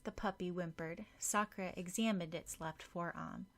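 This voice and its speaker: A woman's voice: lowish voice, fairly even intonation, normal speed, a bit bright. A woman reading a story as a scripted audiobook narrator.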